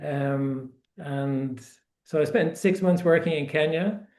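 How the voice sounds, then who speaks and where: A man's voice: fairly high pitch, slow pace, darkish tone. Reflective Irish man in an echoey room. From Ireland. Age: 40s. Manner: reflective, repeats words as he gathers thoughts. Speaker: guest author. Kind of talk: casual interview storytelling.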